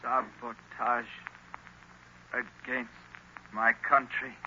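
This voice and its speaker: An actor playing an anguished young lieutenant struggling under a hypnotic spell, halting, dazed and pleading, in 1930s radio style. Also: male voice, mid-range voice, little pitch movement, bright, forceful tone, heavily acted. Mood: dazed, forced. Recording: noisy, muffled 1930s radio recording.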